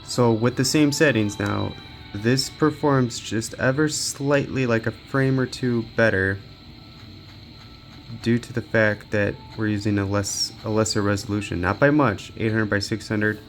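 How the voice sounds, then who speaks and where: A man's voice: on the low side, fairly even intonation, normal speed, soft background music. A man giving casual, off-the-cuff commentary as he tests gaming gear, muttering to himself as he works. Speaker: gaming handheld reviewer.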